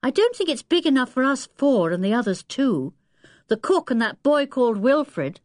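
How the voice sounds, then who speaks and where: Woman voicing a calm, sensible lead boy. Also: high-pitched, moderate pace, no room sound, clean studio sound, pitched above the performer's own voice. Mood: steady. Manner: reasonable, calm, firmly in charge. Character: sensible, steady older boy, the group's leader.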